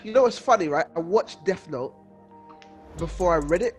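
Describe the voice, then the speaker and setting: Male podcast co-host, higher-pitched voice, casual slangy delivery, drives points home by repetition. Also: lively intonation, normal speed, soft background music. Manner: emphatic, slangy, repeats himself for emphasis. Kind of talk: casual chat among friends.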